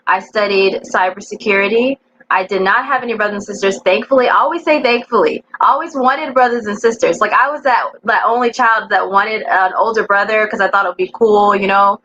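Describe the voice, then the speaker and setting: A woman's voice: mid-range voice, a little fast, bright, forceful tone. A woman from the East Coast of the United States, a cybersecurity educator, telling her story earnestly as an interview guest. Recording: slightly dull sound with faint noise.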